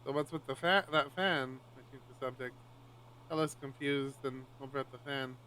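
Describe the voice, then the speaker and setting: A man streaming live, reading a visual novel aloud in an expressive, swearing style with loud outbursts. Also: medium pitch, moderate pace, a little hiss or hum, poor audio.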